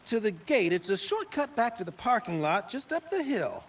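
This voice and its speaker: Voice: mid-range voice, very animated, moderate pace. A performer playing a chatty, obliging small-town deputy in a video game, talking in a folksy, helpful way. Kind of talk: cinematic video game acting.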